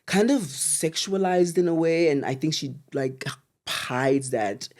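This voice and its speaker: South African podcast host, medium pitch, effusive, slangy, conversational. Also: slow pace, a little mellow. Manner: effusive, slangy, circling through many 'like's. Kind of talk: casual chat.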